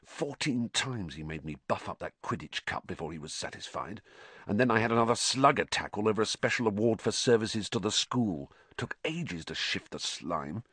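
A man's voice: fairly low pitch, animated, moderate pace, a bit bright, husky, no echo. A man playing a weary, groaning schoolboy. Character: tired, sore twelve-year-old boy wizard. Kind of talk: audiobook character voice.

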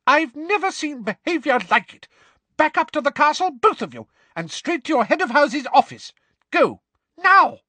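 Bossy woman teacher, acted by a man, shrill and very high, outraged, commanding, storybook character. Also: sing-song, normal speed, pushed and bright, dry recording, heavily acted. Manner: shrieking, commanding.

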